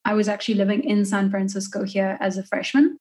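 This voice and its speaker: Young south african woman guesting on a podcast, chatting casually, medium pitch. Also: steady tone, quick pace, darkish tone. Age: early 20s. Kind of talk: casual interview conversation.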